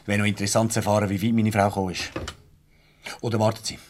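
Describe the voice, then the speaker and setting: An actor with a Swiss accent playing a brusque, irritable office boss in a radio comedy, curt and reproachful. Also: male voice, lowish voice, little pitch movement, fast talker, close to an everyday voice. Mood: irritated.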